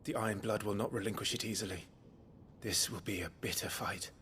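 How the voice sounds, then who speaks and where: An actor playing a dutiful young noble knight with a British accent, formal and restrained, in a fantasy game. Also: male voice, lowish voice, fairly even intonation, brisk, sharp tone, a little hiss or hum. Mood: concerned. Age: young adult. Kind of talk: dramatic fantasy game acting.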